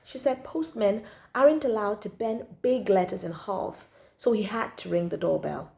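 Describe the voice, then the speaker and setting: A woman reading a children's story aloud in an expressive, emphatic storytelling style. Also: medium pitch, moderate pace, gravelly. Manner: animated, emphatic, acts out the child narrator's feelings. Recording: echoey room.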